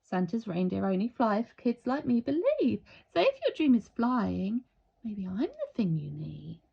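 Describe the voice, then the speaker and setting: A woman voicing a friendly, earnest little boy in a gentle, encouraging storybook character voice. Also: mid-range voice, huge pitch swings, a little slow, creaky, husky, dull audio, exaggerated voice.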